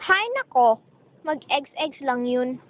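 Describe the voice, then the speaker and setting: A woman's voice: sits high, slow pace. A woman playing a gossipy, teasing young café worker with a Filipino accent, sassy and theatrical, in an amateur romance radio drama. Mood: teasing, dramatic. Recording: tinny phone-like band, faint background noise.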